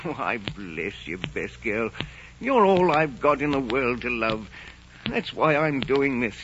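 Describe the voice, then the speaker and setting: Casting: stern, caring older innkeeper dad, 1940s radio melodrama, dull recording with faint noise. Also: male voice, mid-range voice, lively intonation, takes it slowly. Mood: sorrowful, firm. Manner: earnest, paternal, firm. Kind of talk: 1940s radio drama acting.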